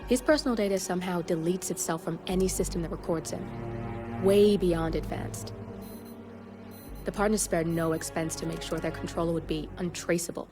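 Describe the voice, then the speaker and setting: A woman's voice: on the low side, moderate pace, over music, close to an everyday voice. A woman playing a wry, blunt hacker. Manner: blunt, wry, briefing-style delivery. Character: streetwise sardonic young woman hacker. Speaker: hacker and handler. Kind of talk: video game cutscene acting. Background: game score.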